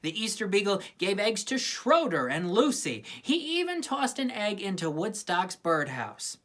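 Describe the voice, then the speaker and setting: A woman's voice: mid-range voice, fairly bright tone. A woman reading a children's story aloud with plenty of expression. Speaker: storybook reader.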